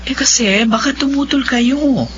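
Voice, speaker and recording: A woman's voice: high voice, a little slow. A woman with a Filipino accent playing a stubborn, lovestruck middle-aged mother in a melodramatic radio drama. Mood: insistent. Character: infatuated, stubborn middle-aged mother defending her young lover. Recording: dull lo-fi audio with hum.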